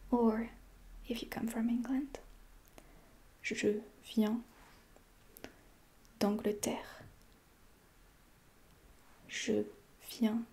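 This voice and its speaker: Woman, French accent, whispered ASMR teacher roleplay, gravelly texture, patient repetition. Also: fairly high pitch, a little mellow. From France.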